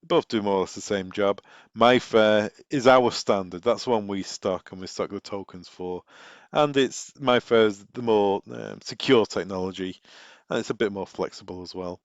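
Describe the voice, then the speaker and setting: Low-voiced man giving product training, echoey room. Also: moderate pace. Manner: explanatory, working through practical examples. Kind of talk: informal product training presentation. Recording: echoey room, dull muffled sound.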